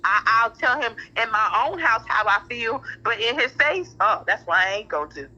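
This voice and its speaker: A middle-aged woman with a high, very sharp and forceful voice speaks over a muffled phone connection. She is blunt and emphatic, hammering points by repeating them in heated, casual conversation. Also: lively intonation, normal speed. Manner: emphatic, repetitive, blunt with profanity. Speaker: parent in a phone discussion. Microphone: phone call. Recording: muffled telephone-like audio.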